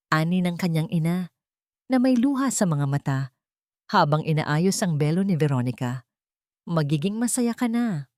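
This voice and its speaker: Casting: female storyteller for romance drama, lower voice, deliberate phrasing, very dry close sound. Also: a little mellow, no room sound. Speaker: story narrator. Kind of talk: scripted dramatic romance narration.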